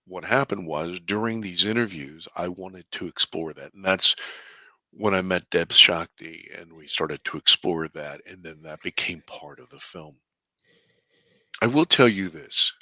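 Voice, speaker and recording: Deep-voiced man telling his story earnestly. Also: no room sound. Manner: earnest, halting with restarts, bursts of excitement. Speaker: filmmaker, interview guest. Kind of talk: conversational storytelling.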